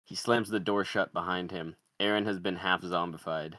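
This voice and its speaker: A man's voice: sits low, fairly even intonation, moderate pace, pushed and bright, no room sound, clean studio sound. A man narrating, reading out the stage directions of a screenplay.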